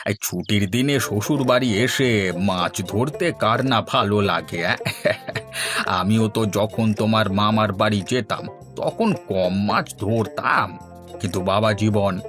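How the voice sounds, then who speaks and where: A man's voice: fairly low pitch, fairly bright tone. A man playing a genial, hospitable older village father-in-law in a cartoon folk tale, speaking in an indulgent, coaxing way. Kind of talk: Bengali animated folk-tale dialogue. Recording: music under the voice. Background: music.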